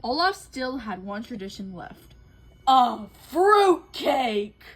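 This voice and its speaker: A woman co-hosts a read-aloud of a children's story. Her voice is very high and moves up and down a lot as she narrates expressively. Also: big pitch swings, slow, faint music behind. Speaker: co-host reading a picture book aloud.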